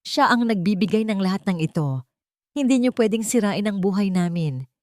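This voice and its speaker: A woman's voice: mid-range voice, animated, moderate pace, a little mellow, no room sound, clean studio sound, close to an everyday voice. A woman voicing a defensive, desperate adult daughter in an audio drama story, pleading and making excuses. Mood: angry, defensive. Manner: stammering excuses, emotionally pleading.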